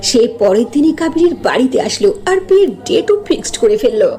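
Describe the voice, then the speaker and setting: A woman's voice: sits high, big pitch swings, fairly quick, mellow. A woman narrator reading a scripted cartoon story.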